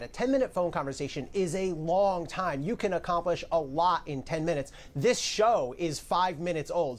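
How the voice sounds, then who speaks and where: A man giving live legal analysis on a news show, explaining step by step with emphasis. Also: fairly high pitch.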